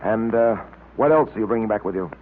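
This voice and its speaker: An actor playing a brisk, blunt, level-headed detective in an old radio drama, with a clipped, authoritative manner. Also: male voice, on the low side, animated, lightly acted. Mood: stern, blunt. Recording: tinny old radio recording, light noise.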